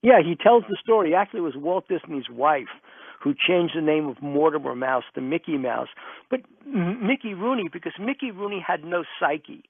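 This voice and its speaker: A man's voice: on the high side, animated, normal speed, slightly rough, no room sound, narrow, tinny audio. A man, a guest author telling stories emphatically and repeating himself to drive points home in an interview. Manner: emphatic, builds points through repetition. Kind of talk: animated storytelling in an interview. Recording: band-limited radio interview sound, close and dry.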